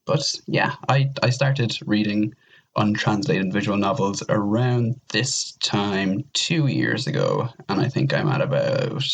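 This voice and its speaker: A man's voice: fairly low pitch, big pitch swings, unhurried, creaky, slight background noise. A young Irish man speaking casually as a podcast guest, rambling and repeating his words as he goes. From Ireland. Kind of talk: casual interview chat.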